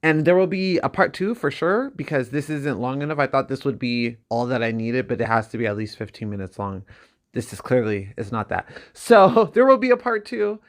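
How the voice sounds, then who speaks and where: A man's voice: medium pitch, animated, moderate pace. A man talking casually and cheerfully to his viewers as a video creator, in a giggly, informal way.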